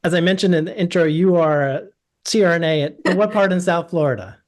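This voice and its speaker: A man's voice: high voice, quick pace. Easygoing man hosting a money podcast. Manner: easygoing, many ums and restarts. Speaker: podcast host, financial planner. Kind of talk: conversational interview.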